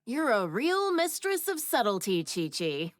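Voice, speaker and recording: A clever, outspoken anime woman with a fairly high, lively voice. She is sardonic and casual, giving wry opinions in a dry, close recording for a video game. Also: a little slow, dry recording. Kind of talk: English anime game voice acting.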